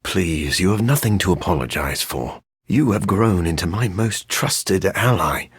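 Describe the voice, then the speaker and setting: An actor playing a brooding, erudite vampire scientist in a video game, raspy and gravelly, with formal, precise, deadpan phrasing and a dry sardonic streak. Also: male voice, low voice, measured pace, dry recording, full character voice. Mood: earnest, courteous. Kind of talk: video game character voice lines.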